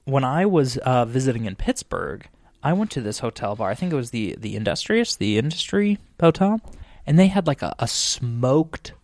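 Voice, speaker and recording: A man's voice: mid-range voice, a little mellow, no room sound. Man in his late twenties, podcast co-host, rambling, swears a lot, dry close mic. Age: 20s. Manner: rambling, swears freely, tangents. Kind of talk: casual chat over drinks.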